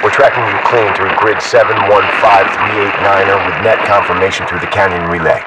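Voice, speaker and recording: A man playing a calm soldier, speaking in terse, procedural military chatter through a radio filter. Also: deep voice, brisk. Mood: businesslike. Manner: procedural, terse, uses call signs. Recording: noisy, distant military radio transmission.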